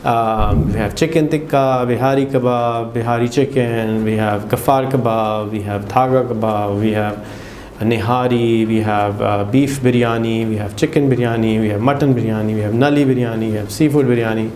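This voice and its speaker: A man's voice: mid-range voice, steady tone, normal speed, few pauses, darkish tone, roomy sound, a little hiss or hum. A man from Pakistan with a Pakistani accent, talking casually to camera with lots of fillers and restarts. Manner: chatty, many fillers and restarts. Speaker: vlogger.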